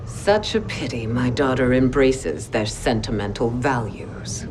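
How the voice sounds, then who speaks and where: A woman's voice: very deep voice, unhurried, noisy background. An actress playing a proud, ruthless warrior empress and scheming mother in a video game, speaking in a regal, formal manner. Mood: disdainful. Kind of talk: video game cutscene acting.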